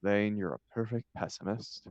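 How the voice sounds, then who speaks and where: A man's voice: on the low side, moderate pace, darkish tone, dry recording, muffled recording, lightly acted. A man reading a flippant, idle young upper-class English dandy in a stage comedy, breezy and amused in manner.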